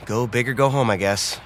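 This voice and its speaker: A man's voice: medium pitch, a bit bright, slight background noise. An actor playing an easygoing, bashful man in a romantic comedy audio drama, talking in a hesitant, wry, self-deprecating way. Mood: wry, flustered.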